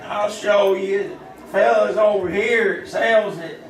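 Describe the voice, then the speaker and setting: Slow talking high voice, guy playing a folksy american backwoods feuder in an old radio western, roomy. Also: steady tone, creaky, heavily acted. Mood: friendly. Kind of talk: old-time western radio acting on stage. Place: live theater stage. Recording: roomy, distant live stage recording.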